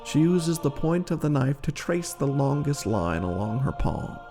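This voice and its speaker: A man reading a scripted story in a theatrical, measured narrator's style. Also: mid-range voice, sing-song, warm tone, faint music behind. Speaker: game lore reader and streamer.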